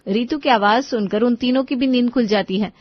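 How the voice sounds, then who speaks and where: A woman's voice: fairly high pitch, fairly quick, dry recording, dull audio. Woman narrating a cartoon story, dry sound.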